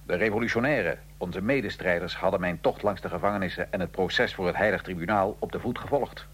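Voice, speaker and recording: A man acting in a radio drama as a defiant, wry nineteenth-century Italian revolutionary prisoner, telling his story gravely and with irony. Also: sits low, fast, fairly bright tone, a little hiss or hum. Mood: grave, wry recounting.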